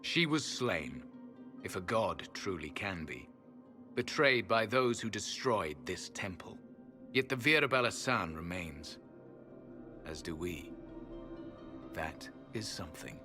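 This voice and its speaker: Deep-voiced ancient elven guardian. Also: male voice, animated, slow, pauses often, bright, forceful tone, soft background music, heavily acted. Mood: grave, resigned. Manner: grave, formal, archaic phrasing. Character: ancient solemn male elven sentinel guardian of a temple. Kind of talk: video game fantasy voice acting.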